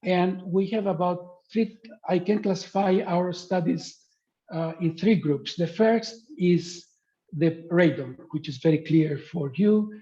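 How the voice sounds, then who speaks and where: A man from Greece presents a science lecture on a video call, pausing often with fillers as he goes. His voice is mellow and sits a little high, and the call audio is dull and lo-fi. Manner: hesitant, many fillers. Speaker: guest speaker, professor. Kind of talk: webinar lecture. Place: remote spot in the countryside.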